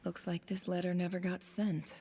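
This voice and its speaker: A woman as a cool, creaky, hushed observer. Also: on the low side, level tone, normal speed, long reverb tail, a little hiss or hum, lightly acted. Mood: detached, grim. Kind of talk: game voice acting, hushed inner narration.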